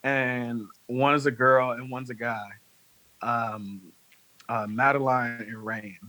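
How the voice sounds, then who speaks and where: A man in his late twenties, a podcast guest, telling stories in a rambling, animated way, full of "like". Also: medium pitch, slow, no echo, rough recording. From North Carolina. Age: 20s. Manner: rambling, heavy use of "like", animated storytelling. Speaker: podcast guest, music manager. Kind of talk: casual chat.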